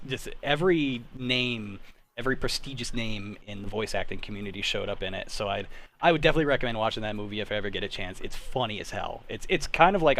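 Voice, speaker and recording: A man's voice: on the low side, fairly bright tone, slight background noise. A man around his thirties hosting a casual group chat in an informal, self-deprecating way. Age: 30s. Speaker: host. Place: online group call.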